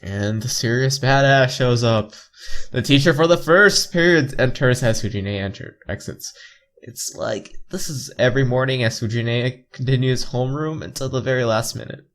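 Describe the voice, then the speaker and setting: A man's voice: medium pitch, normal speed, a bit bright. A man reading visual novel narration aloud with playful, casual asides. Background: game music.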